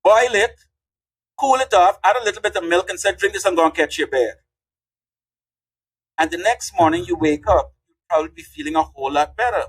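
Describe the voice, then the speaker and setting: An older man from Guyana with a husky, breathy voice, pitched a little high for a man. He tells his stories in a lively, folksy way as a guest on an interview podcast. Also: fairly quick, pauses often, poor audio. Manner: animated, folksy storyteller. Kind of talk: casual interview storytelling.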